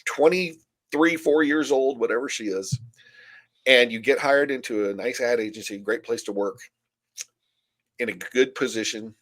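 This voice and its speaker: A man's voice: mid-range voice, moderate pace, pauses often, low-quality recording. A middle-aged man from the United States co-hosting a podcast, talking bluntly and animatedly in casual opinionated chat. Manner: blunt, animated, acts out whiny quotes. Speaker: podcast co-host.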